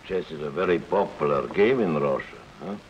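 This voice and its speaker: A man's voice: rumbling low, moderate pace, muffled recording, soft background music. An actor playing a suspicious, prying male refugee who probes in an insinuating way. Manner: probing, insinuating. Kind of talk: 1950s TV drama acting.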